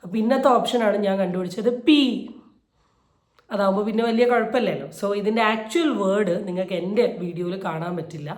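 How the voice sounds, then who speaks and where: A woman's voice: medium pitch, fairly quick. A woman giving indignant, emphatic video commentary, repeating phrases to drive her points home. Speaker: YouTube commentator. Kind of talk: opinion commentary on a viral video. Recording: roomy, echoey voice recording.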